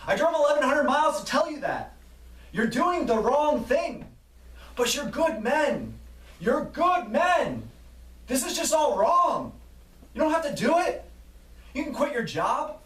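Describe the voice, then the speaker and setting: A man's voice: very high voice, very animated, unhurried, long pauses, pitched above the performer's own voice, full character voice. Earnest male protester, put on by the narrator, raised voice, roomy distant sound. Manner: loud, earnest appeals. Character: earnest man protester urging workers to quit. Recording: echoey room from a distance.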